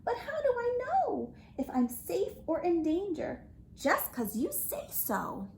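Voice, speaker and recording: A jittery little feeling creature from a children's storybook shrieks in terror. The voice leaps very high and swings wildly. Faint background noise sits under it. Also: female voice, wildly swooping pitch, slow pace, gravelly, a little mellow, roomy sound, exaggerated voice, rough recording. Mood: wary. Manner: shrieking, panicky.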